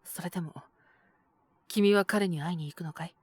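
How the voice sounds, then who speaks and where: A woman voicing a calm, protective male owner who talks in a gentle, measured, softly teasing way in an audio drama. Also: on the low side, slightly rough, no room sound. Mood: stern, testing. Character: calm, protective adult male bar owner mentoring a youth. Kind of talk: Japanese situational audio drama acting.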